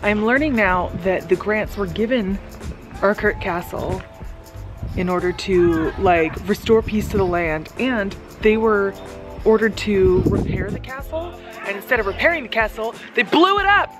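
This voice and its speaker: Female travel vlogger, twenties, mid-pitched, animated casual storyteller, outdoor camera sound. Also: normal speed, music in the background. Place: outdoors at castle ruins and scenic viewpoints. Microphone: camera at arm's length.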